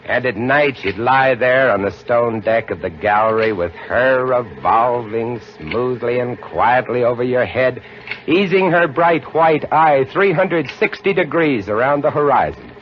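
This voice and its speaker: An actor narrating as a lighthouse keeper with vivid, dramatic storytelling in a 1950 radio drama. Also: male voice, mid-range voice, lively intonation, measured pace, a little hiss or hum, natural voice. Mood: tense. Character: lighthouse keeper recounting a horror, male, adult. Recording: dull old radio broadcast with music.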